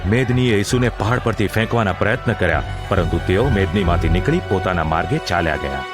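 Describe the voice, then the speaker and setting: A man's voice: sits low, expressive, fast talker. A man narrating a scripted audio drama in a formal, literary storytelling manner. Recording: music under the voice.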